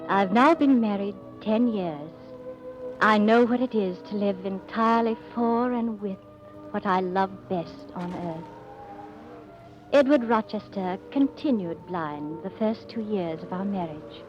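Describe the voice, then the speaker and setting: A muffled vintage radio recording with clear music behind an actress playing an earnest young gentlewoman. Her medium-pitched voice is formal and composed, in the manner of 1940s drama. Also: a little slow, close to an everyday voice. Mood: composed, reflective. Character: earnest, devoted young English gentlewoman narrating her life.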